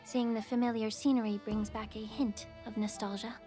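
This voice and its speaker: A woman playing a poised, soft-spoken healer from an ancient dragon people in a video game, speaking in a composed, courteous and measured way. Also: a little high, level tone, natural voice. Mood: wistful. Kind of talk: scripted video game dialogue. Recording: game music behind the voice.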